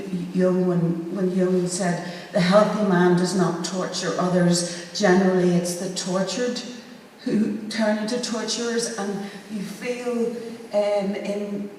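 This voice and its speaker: Halting woman in a very echoey hall. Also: medium pitch, darkish tone. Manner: halting, thoughtful, searching for words. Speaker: actor speaking as a panelist. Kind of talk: informal talk to an audience about a theatre project. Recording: echoey hall, distant mic, faint noise.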